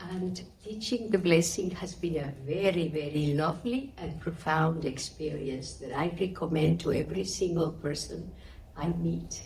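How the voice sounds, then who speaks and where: Lower-pitched mature woman with Spanish accent. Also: a little mellow, slight background noise, poor audio. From Spain. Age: middle-aged or older. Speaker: interviewee, spiritual teacher. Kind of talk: interview answers with personal storytelling.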